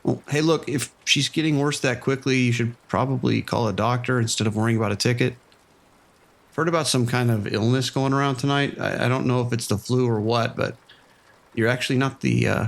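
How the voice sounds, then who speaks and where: A man voicing a weary police sergeant with a gravelly, creaky voice. He stays calm and informal and stumbles over his words now and then. His pitch sits at an ordinary middle level. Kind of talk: audio drama acting.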